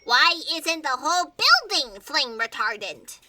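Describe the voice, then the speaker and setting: An actress playing an excitable, naive female fact checker in a comedic audio drama, melodramatic in manner. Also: sits high, unhurried, far from the mic, heavily acted. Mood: indignant. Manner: melodramatic, sighing and gasping.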